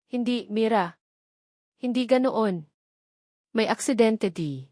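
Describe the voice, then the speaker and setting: A woman's voice: a little high, takes it slowly, a little mellow, no room sound, clean studio sound. Woman reading as a worried, pleading husband. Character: worried, pleading husband, guilt-ridden man.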